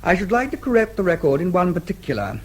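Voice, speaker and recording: An actor playing a composed, protective brother in a radio drama, clipped and polite but firm. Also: male voice, high voice, very animated, fast. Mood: politely defiant. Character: crisp, protective brother, a composed gentleman standing his ground.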